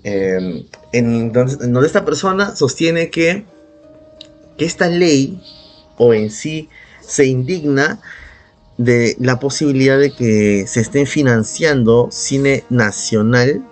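A man's voice: mid-range voice, expressive, takes it slowly. Peruvian man ranting casually over music. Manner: argumentative, sarcastic, swears casually. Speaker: podcast co-host. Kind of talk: casual opinionated podcast talk. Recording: background music under the voice.

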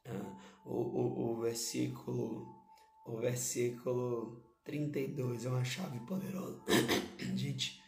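A hoarse male pastor, preaching a sermon and repeating key phrases to his listeners. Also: mid-range voice, level tone, slow pace, darkish tone, reverberant.

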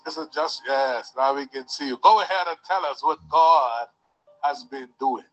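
A man's voice: mid-range voice, normal speed, dull audio. A man leading a church service, preaching fervently with call-and-response and repeated hallelujahs. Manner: repeats hallelujah, rises to shouts.

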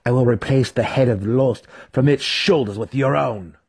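A man voicing an intense, defiant young male dark elf warrior in a dramatic fantasy audiobook. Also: mid-range voice, moderate pace, breathy edge, pitched above the performer's own voice. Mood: menacing. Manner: intense, growling threats.

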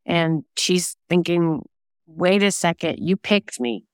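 A woman's voice: on the low side, animated, slow pace, dry recording, studio quality. A woman answering interview questions in a chatty, informal way, explaining at length as a guest. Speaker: guest, singer-songwriter and self-published novelist.

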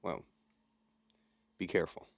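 An actor playing a gruff, foreboding but kindly local man, a driver in a radio play, laconic and ominous. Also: measured pace, dry recording, lightly acted. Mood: ominous, concerned.

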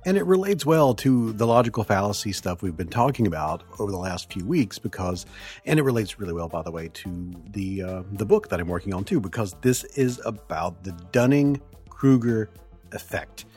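A man hosting a podcast, talking alone in a hoarse voice as he gets over the flu, rambling and correcting himself as he goes. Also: lowish voice, animated, moderate pace, a little mellow, faint music behind. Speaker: podcast host. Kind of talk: solo podcast monologue.

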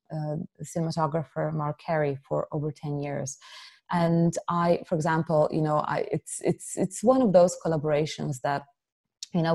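Gravelly-voiced woman artist from Slovenia. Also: low voice, warm tone. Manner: rambling, many fillers and restarts. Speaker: artist and filmmaker. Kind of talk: conversational interview answer.

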